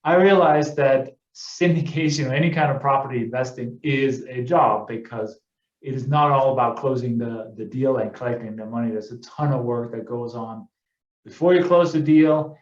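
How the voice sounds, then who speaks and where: A man's voice: mid-range voice, moderate pace. A middle-aged man from Denmark, presenting on a webinar with many fillers and self-corrections. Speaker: guest presenter, real estate investor. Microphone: video call. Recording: echoey, dull webinar audio.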